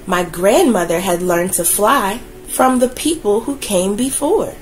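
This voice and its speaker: A woman reading a children's picture book aloud in a gentle, expressive, soothing way. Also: mid-range voice, measured pace, faint music behind, low-quality recording. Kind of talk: read-aloud storytelling.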